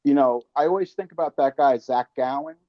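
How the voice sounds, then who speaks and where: A man in casual chat as an interview guest, telling stories in an animated, slangy way and swearing freely. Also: mid-range voice, brisk, no room sound, muffled recording. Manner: slangy, calls listener man and brother. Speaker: interview guest, actor and filmmaker.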